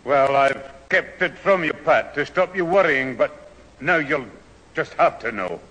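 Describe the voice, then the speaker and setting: A man playing an irascible, long-suffering old grandfather with a Scottish accent in a 1970s radio sitcom, his voice husky. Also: on the high side, expressive, measured pace, sharp tone, exaggerated voice. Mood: resigned. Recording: reverberant old radio hall recording.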